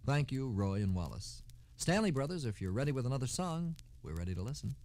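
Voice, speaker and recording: A man's voice: medium pitch, big pitch swings, brisk, darkish tone. A man hosting a scripted 1960s radio program. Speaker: radio show host.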